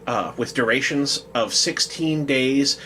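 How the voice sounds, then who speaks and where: Male podcast co-host, mid-range voice, conversational and a little halting, some noise behind him. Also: medium pitch, bright, forceful tone. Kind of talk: casual discussion, at times reading out a quote.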